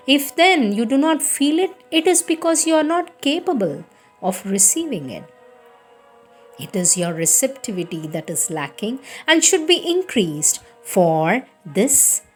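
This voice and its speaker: A woman giving a slow, reverent reading of devotional letters. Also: fairly high pitch. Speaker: reader.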